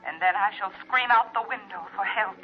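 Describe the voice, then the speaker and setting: A woman's voice: medium pitch, a little fast. A woman playing a frightened, sickly wife in an old radio drama, through a thin filter. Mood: fearful. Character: frightened sickly wife fearing for her life. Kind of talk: 1940s radio drama acting. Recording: tinny, noisy old radio recording.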